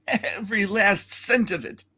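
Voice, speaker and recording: Panicky, hapless older uncle played by a man, highish. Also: measured pace, sharp tone, slightly rough, exaggerated voice. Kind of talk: hammed-up live radio play reading.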